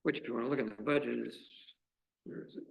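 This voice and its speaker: Man running a town board meeting, gravelly fry, mid pitch, halting delivery. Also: fairly even intonation, rough recording. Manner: halting, with uhs and restarts. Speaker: meeting chair.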